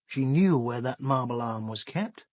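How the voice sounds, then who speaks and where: A man's voice: medium pitch, animated, a little slow, darkish tone, no echo. A British actor playing a shrewd, dryly sardonic police inspector in a radio drama, wry and probing in his questioning. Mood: wry, speculative. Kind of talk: full-cast radio drama.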